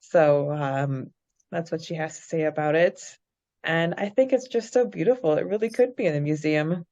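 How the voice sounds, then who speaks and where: A woman co-hosting a fan podcast, chatting casually in a hesitant, halting way. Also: low-pitched, dark tone. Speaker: podcast co-host. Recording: slightly dull audio, faint music behind.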